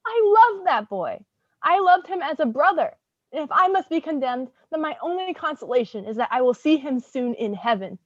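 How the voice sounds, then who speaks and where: A woman's voice: sits high, very animated, normal speed, no room sound, dull audio. A woman playing a wrongly condemned young woman in a staged gothic drama, wounded, defiant and pleading. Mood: anguished, defiant.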